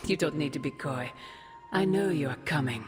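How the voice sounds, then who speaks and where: A woman's voice: sits low, normal speed, natural voice. An actress playing an ancient demon mother in a video game, stately, formal and ominous yet eerily affectionate. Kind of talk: dramatic video game acting. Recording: long cavernous reverb, music behind.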